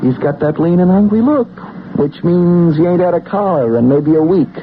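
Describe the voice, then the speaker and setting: An American actor playing a gruff, suspicious working-class war veteran in a radio drama, talking in blunt street slang. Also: male voice, high voice, expressive, normal speed, a little hiss or hum. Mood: hostile, scornful. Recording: narrow tinny old radio broadcast, music.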